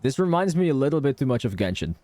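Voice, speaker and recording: A man's voice: medium pitch, very animated, quick pace, mellow, no room sound. A man streaming a game playthrough in a casual, informal way, commenting and reading text aloud. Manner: informal, sweary. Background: game music.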